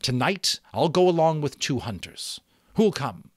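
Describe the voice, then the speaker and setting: Give this storybook role a commanding young chief acted out by a man. The boy's voice sits well above an adult man's usual pitch, swinging widely as he issues solemn, emphatic orders to his tribe. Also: big pitch swings, measured pace, no room sound, clean studio sound.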